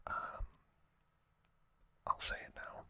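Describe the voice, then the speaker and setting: A man in his thirties whispering casual fan commentary as an ASMR host, soft and unhurried with long pauses. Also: dry recording.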